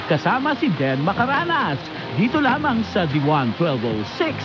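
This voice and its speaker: A woman's voice: mid-range voice, huge pitch swings, normal speed. A woman with a Filipino accent reading scripted radio promos as a station announcer. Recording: dull AM radio reception, loud music. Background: loud music.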